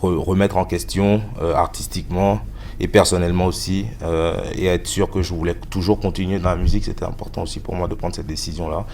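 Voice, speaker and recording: A man in his thirties answers interview questions about his music in a very deep, flat voice. He speaks haltingly and often stops to search for words. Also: creaky, a little hiss or hum. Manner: hesitant, many fillers. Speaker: musician guest.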